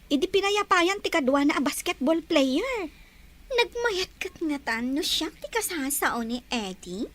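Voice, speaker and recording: A woman's voice: high-pitched, sing-song, measured pace, a bit bright, a little hiss or hum, heavily acted. A woman acting a young female student in an audio drama, insecure and plaintive, speaking expressively. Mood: gossipy, whiny.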